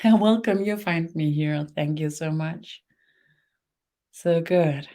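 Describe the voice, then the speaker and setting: A woman from Denmark with a Danish accent and Danish as her first language, hosting a live-streamed spiritual webinar, speaking slowly with many fillers and restarts. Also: low voice, mellow. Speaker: webinar host, spiritual teacher.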